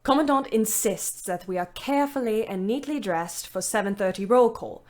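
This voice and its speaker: A woman's voice: mid-range voice, natural voice. An actress playing a weary, bitter young ambulance driver, narrating her wartime diary expressively in an audio play. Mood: dry, brisk. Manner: measured, literate, expressive. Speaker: narrator and lead character.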